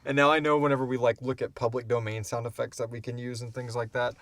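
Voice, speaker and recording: A man in his thirties hosting a casual chat about making an audio show, animated and informal as he tells stories and answers questions. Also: medium pitch, fast. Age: 30s. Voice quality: wheezy breathing. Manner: animated storyteller, acts out sounds and swears casually. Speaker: podcast host and audio drama creator.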